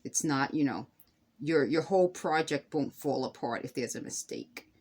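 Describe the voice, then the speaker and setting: A woman's voice: rumbling low, lively intonation, normal speed, darkish tone, husky. A woman hosting a craft tutorial, chatting casually to viewers and rambling a little as she explains each step by hand. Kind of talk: casual hands-on demonstration, talking to viewers.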